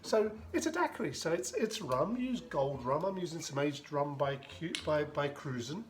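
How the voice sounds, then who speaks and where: A man's voice: a little high, sing-song, normal speed, slight background noise. Chatty man mixing a cocktail. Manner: chatty, rambling asides, dry humor; narrates while mixing and shaking drinks. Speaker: home bartender making a cocktail. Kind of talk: casual how-to demonstration.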